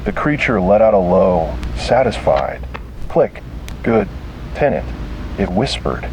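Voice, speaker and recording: A man narrating scripted first-person horror in a dramatic, deliberate and grim manner. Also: low-pitched, sing-song, vocal fry. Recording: noisy, hissy analog tape sound.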